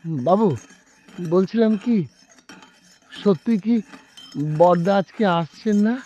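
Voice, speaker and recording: A deferential household servant in a radio play. He talks slowly and hesitantly, with small stammers. The sound is somewhat dull. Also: sits high, dark tone. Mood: timid, concerned. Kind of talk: radio drama acting. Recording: dull lo-fi radio drama audio.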